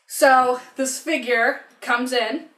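A woman's voice: sits high, steady tone, slow, a bit bright. A woman co-hosting a podcast, casually talking through a film in a candid, sweary way. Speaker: podcast co-host. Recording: roomy echo.

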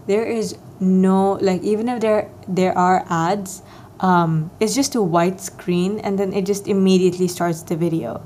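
A young woman talking alone into her podcast mic, meandering from thought to thought with plenty of ums and likes. Her voice is soft and mellow, with a slight morning rasp. She speaks at a middling pitch in an easygoing, self-deprecating way. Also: a little hiss or hum. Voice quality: soft, soothing voice. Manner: rambling, filler-heavy, self-deprecating. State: slightly raspier than usual, morning voice. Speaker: podcast host. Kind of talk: casual solo podcast chat.

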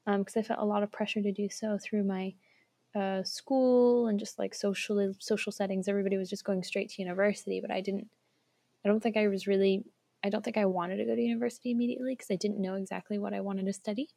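Young woman guest, relaxed storyteller, slight vocal fry, remote call sound. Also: medium pitch, fast, dry recording. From Switzerland. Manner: chatty, laughs often, lots of fillers. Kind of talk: casual interview storytelling. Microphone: remote online call recording.

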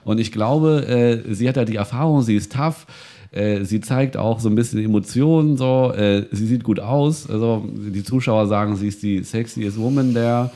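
A man's voice: fairly low pitch, moderate pace, warm tone. A man co-hosting a casual chat show, rambling with many fillers and restarts as he talks about reality TV. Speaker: podcast co-host.

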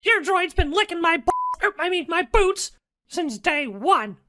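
A very high, piercing cartoon mouse villain, squeaky and giggly. He is a mean little male crime boss who mocks everyone in a bright, slow, swooping delivery. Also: sing-song, exaggerated voice. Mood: sly, teasing. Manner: mocking, taunting. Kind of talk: parody radio drama acting.

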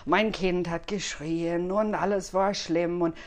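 A woman's voice: fairly low pitch, steady tone, takes it slowly. A woman from Dublin with an Irish accent, a guest chatting casually in German with many hesitations. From Ireland, Dublin. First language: English. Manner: hesitant, many fillers, friendly. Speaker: guest, cinema series organizer. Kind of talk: casual interview chat.

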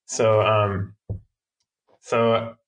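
A man being interviewed as a filmmaker, speaking in a thoughtful, halting way with frequent restarts. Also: fairly low pitch, level tone, low-quality recording. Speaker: filmmaker guest. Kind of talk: interview conversation.

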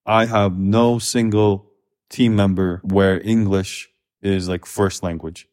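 A man's voice: low voice, level tone, slow, a little mellow. A man from Korea co-hosting a podcast, talking frankly and loosely with restarts. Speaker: podcast co-host. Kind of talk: casual conversational podcast.

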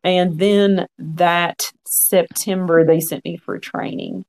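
A man's voice: high-pitched, slow pace, gravelly. A man in his forties, a podcast guest, telling his story in a frank, earnest conversational way. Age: 40s. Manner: frank, earnest, quotes own past thoughts.

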